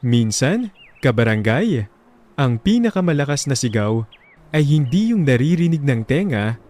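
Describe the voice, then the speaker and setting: Filipino male narrator with lively, sweeping intonation. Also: medium pitch, measured pace. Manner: solemn, sentimental storytelling. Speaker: radio drama host and narrator. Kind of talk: dramatic scripted narration.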